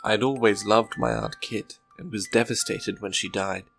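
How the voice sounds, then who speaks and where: A man with a fairly low voice and plenty of fry in it. He presents a fiction podcast and reads stories from a script. Soft music plays faintly behind him. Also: steady tone, normal speed. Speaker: podcast host and story reader.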